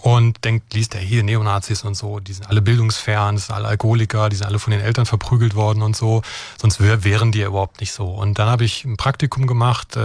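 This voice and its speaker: A man's voice: on the low side, darkish tone, no echo. A middle-aged man from Brandenburg explaining his work as an expert guest. He talks fluently and earnestly, often checking in with "ja?" and "ne?". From Brandenburg, Germany. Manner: fluent, earnest, keeps adding "ja?" and "ne?" tags. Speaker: guest expert on extremism and deradicalization. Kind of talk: explanatory interview answers.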